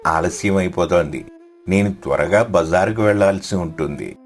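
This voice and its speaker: A man acts out a poor, devout fish seller in a moral story. He is humble and respectful, with a lowish voice over faint music. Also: normal speed, close to an everyday voice. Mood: hurried, respectful. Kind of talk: scripted story dialogue.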